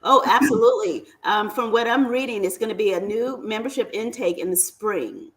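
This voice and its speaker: A woman's voice: medium pitch, moderate pace, a little hiss or hum, poor audio. A woman guest on a casual talk show, chatting in a self-deprecating storytelling way. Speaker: guest business owner. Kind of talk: casual chat.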